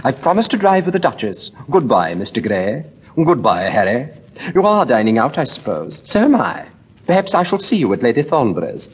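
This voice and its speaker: A man's voice: sing-song, breathy edge. A man voicing a chatty, scatterbrained society lady in a storybook reading, with flighty chatter. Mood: hurried. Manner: rapid, flighty, high-pitched chatter.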